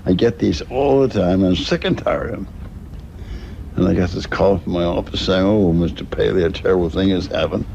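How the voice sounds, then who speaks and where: An older man plainly recalling past events in an interview, with a gravelly voice. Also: sits low, brisk, mellow. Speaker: interviewee, former broadcast executive. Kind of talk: plain spoken reminiscence. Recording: dull, faintly noisy archival interview.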